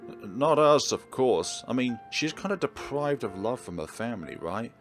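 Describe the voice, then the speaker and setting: A man voicing an awkward, earnest high school protagonist who speaks hesitantly in a visual novel. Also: lively intonation, music in the background, close to an everyday voice. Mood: nervous, apologetic. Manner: hesitant, halting, stammering.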